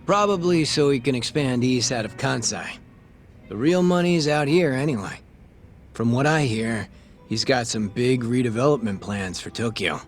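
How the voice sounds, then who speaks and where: A man playing a sly crooked cop who sells information in a video game. He is glib and casual, in a mid-range male voice. Music runs quietly behind him. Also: mid-range voice, expressive, normal speed, close to an everyday voice. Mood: knowing. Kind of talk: video game cutscene acting.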